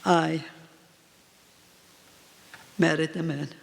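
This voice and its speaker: A woman in her eighties, a native Polish speaker, speaking in slow, halting short phrases as a guest at a live talk in a reverberant hall. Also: low voice, steady tone, creaky, a little hiss or hum. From Poland. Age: 80s. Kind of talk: answering on stage in a live talk. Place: event hall with audience.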